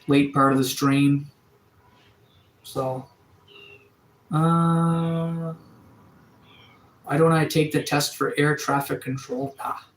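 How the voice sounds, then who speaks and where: A man in his thirties from Atlantic Canada, chatting casually on a live stream, relaxed and rambling with lots of fillers and restarts. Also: on the high side, slow pace, pauses often, echoey room, low-quality recording. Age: 30s. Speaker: livestreamer. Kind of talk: casual live-stream chat reading viewer comments. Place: sunroom.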